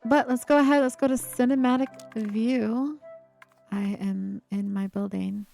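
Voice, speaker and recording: A woman over twenty-five chatting casually on a live stream, with a breathy, giggly laugh and lots of fillers. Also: fairly high pitch, steady tone, a little slow, mellow, soft background music.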